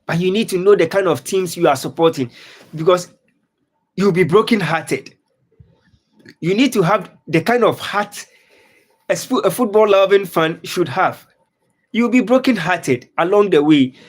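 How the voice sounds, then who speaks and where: A man's voice: high voice, measured pace, long pauses. A man from Ghana hosting a live stream, talking casually about football and reading out viewers' comments. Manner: repetitive, earnest, reads out viewer comments. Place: lives in Dubai.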